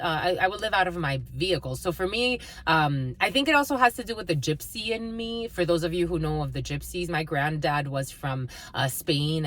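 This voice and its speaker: A woman's voice: low-pitched, fairly bright tone. A woman from the northeastern United States giving a casual live tarot reading, rambling with many fillers and talking fondly to her viewers. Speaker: tarot and energy reader.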